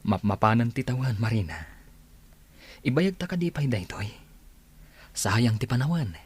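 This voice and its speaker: A man's voice: mid-range voice, animated, unhurried, slightly rough. A man acting a persistent, sweet-talking suitor in a radio drama, with an Ilocano accent. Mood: pleading. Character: persistent male suitor named Luis, courting sweetly.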